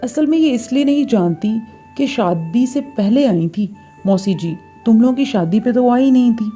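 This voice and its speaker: Woman playing a very mellow elder sister-in-law. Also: a little high, lively intonation, normal speed, soft background music, lightly acted. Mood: gently chiding.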